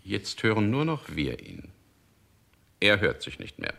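Cold clinical royal doctor, radio drama style, low creaky voice, pompous delivery. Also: male voice, wildly swooping pitch, measured pace, a bit bright, heavily acted. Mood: declamatory. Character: callous court physician, clinical, pompous official. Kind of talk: 1960s radio play acting.

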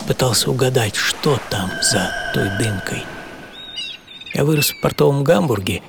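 A man acting a hardy, serene seventy-year-old sailor in an audio play monologue, reflective and intimate, with savoring pauses. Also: medium pitch, expressive, vocal fry, background noise. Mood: fond, amused. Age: 70s. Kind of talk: first-person dramatic monologue in an audio play. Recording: music behind the voice.

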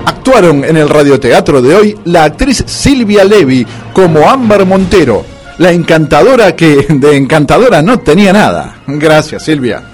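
Animated Argentine male radio narrator over music. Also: fairly high pitch, normal speed. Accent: Argentine Rioplatense. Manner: mock-dramatic. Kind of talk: comic scripted radio narration with closing credits.

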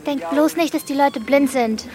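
A woman's voice: sits high, moderate pace, crowd noise, lightly acted. A woman playing a blunt, wary thirteen-year-old village girl in a radio drama, with terse replies. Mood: blunt, needling.

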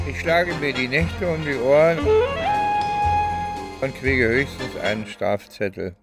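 A man's voice: mid-range voice, slow, loud music behind the voice. An actor playing a hard-boiled male private detective, narrating his cases in a punchy, wry, theatrical way for a radio play. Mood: wry, weary.

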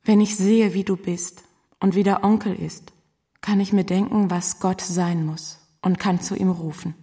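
A woman's voice: mid-range voice, fairly even intonation, measured pace, mellow, close to an everyday voice. An actress playing a remorseful, grateful young woman, voicing a farewell letter solemnly in a radio drama. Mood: sorrowful, grateful. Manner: solemn, heartfelt delivery.

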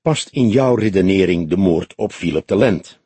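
A man voicing a calm, skeptical old police detective in an audiobook, measured and placating. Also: low voice, slightly rough, dry recording. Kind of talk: audiobook character voice.

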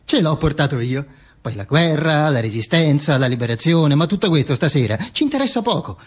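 A man's voice: on the high side, sing-song, slightly rough. An actor playing a vain, grandiose dead dictator who narrates his own afterlife in a pompous theatrical monologue with asides to the audience. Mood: boastful. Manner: pompous, self-aggrandizing, halting asides to the audience.

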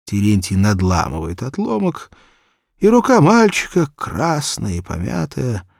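A male audiobook narrator tells a children's literary tale at a slow, measured pace. His mid-pitched voice has a gravelly creak and rises and falls dramatically. The sound is dry and close. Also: wildly swooping pitch, no room sound. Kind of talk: expressive literary storytelling for children.

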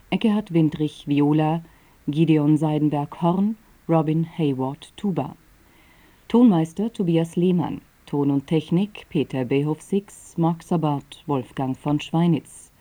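Very dark, low-voiced female announcer. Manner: sober, formal. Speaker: radio announcer. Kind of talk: scripted credits announcement.

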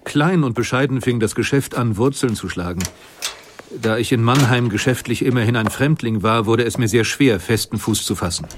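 A man's voice: medium pitch, moderate pace, noisy background, lightly acted. An actor playing an earnest, idealistic inventor of the 19th century, reading his recollections in solemn, old-fashioned phrasing. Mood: modest, reflective. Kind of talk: dramatized memoir reading.

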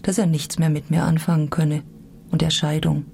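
A woman's voice: low-pitched, little pitch movement, normal speed, vocal fry, darkish tone, breathy edge, heavily acted. An actress playing an embittered woman who left a sect, giving dry, sardonic, matter-of-fact testimony in a radio drama. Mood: flatly hurt.

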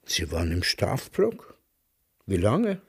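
An old, embittered man in a radio play. He speaks slowly in a husky voice, with expressive swings of pitch. His delivery is wry and sardonic. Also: no echo, full character voice. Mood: dry, weary. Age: older. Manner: dry, sardonic. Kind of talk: radio drama acting, conversational.

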